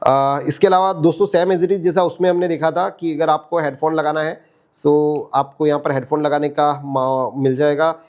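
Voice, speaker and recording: A man's voice: fairly high pitch, fairly even intonation, moderate pace, a little mellow. A man with an Indian accent giving a casual, explanatory product demo as a reviewer, mixing in English terms. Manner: conversational, explanatory, Hindi mixed with English terms.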